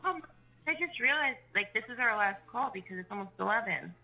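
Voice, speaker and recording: Fast-talking woman on muffled phone call. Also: a little high, lively intonation, creaky, soft background music. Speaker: caller, inmate's partner. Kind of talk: intimate casual phone chat. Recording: dull recorded jail phone call.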